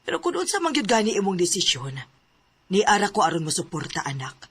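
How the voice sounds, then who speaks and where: Woman playing a husky, worried mother. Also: mid-range voice, huge pitch swings, brisk, fairly bright tone, exaggerated voice. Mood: worried, gentle. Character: worried, supportive, resigned mother of a young wife. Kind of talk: Filipino radio drama acting.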